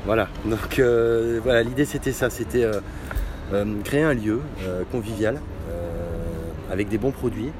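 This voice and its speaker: A middle-aged man who owns a bar, answering interview questions in a casual, hesitant way with many pauses and fillers. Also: lowish voice, music in the background. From France. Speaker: bar owner.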